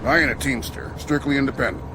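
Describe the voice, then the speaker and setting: An actor playing a friendly, talkative trucker with a laid-back folksy drawl. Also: male voice, medium pitch, fast talker, noisy background, natural voice. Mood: easygoing.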